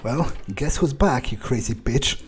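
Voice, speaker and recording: A man voicing an arrogant, menacing vampire predator in improvised video game roleplay, with a halting, smug, contemptuous drawl. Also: medium pitch, animated, faint music behind, natural voice. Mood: taunting.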